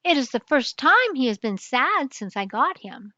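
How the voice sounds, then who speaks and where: A woman's voice: high-pitched, very animated, normal speed, bright, forceful tone, dry recording, full character voice. An older woman voicing a worried, pleading male king in a children's storybook. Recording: dull lo-fi dry recording.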